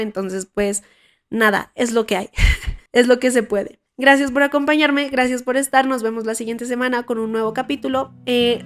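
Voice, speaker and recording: A young woman with a Colombian accent hosting her podcast, hoarse from a cold and talking frankly with frequent restarts. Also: fairly high pitch. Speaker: podcast host. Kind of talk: casual podcast monologue.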